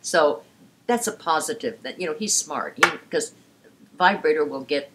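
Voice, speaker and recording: A woman's voice: sits low, animated, moderate pace, noisy background. An older woman in casual chat as co-host, telling frank stories with theatrical flair. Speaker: sex educator co-host. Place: indoors before a paper screen.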